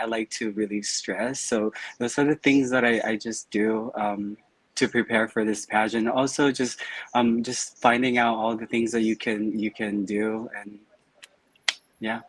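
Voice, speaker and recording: A man, a pageant candidate, answering in a relaxed way with repeated words and fillers. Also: fairly low pitch, measured pace, no room sound, low-quality recording. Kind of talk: interview answers.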